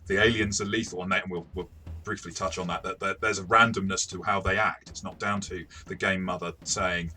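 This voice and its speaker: A man co-hosting a casual review discussion, explaining things in a measured way. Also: low-pitched, fairly even intonation, bright, forceful tone, soft background music. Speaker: co-host and game master.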